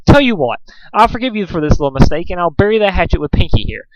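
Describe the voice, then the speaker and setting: A man's voice: sits high, sing-song, quick pace, dull audio, a little hiss or hum, voice pushed up. A man voicing a prim, dramatic female unicorn fashionista in a story reading, with theatrical, haughty delivery. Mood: sugary, coaxing.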